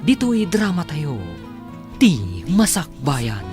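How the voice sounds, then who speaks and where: A man's voice: fairly high pitch, wildly swooping pitch, takes it slowly. A man narrating a radio drama serial in a theatrical, scripted announcer style. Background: guitar music.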